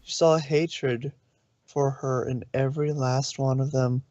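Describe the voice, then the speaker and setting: A narrator reads fiction aloud at a middling pitch, now and then tripping on a word. The sound is dry and close, a little dull and lo-fi. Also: steady tone, unhurried, a little mellow, no room sound. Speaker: narrator, fan fiction reader. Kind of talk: storybook narration read aloud.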